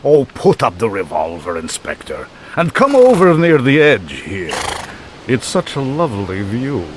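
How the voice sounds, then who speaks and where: An actor playing a suave, murderous doctor in a radio mystery, mock-courteous and gloating in a theatrical way. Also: male voice, mid-range voice, huge pitch swings, unhurried, a little hiss or hum. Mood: gloating, menacing. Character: suave, taunting male doctor villain, swindling murderer. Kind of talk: old-time radio mystery acting.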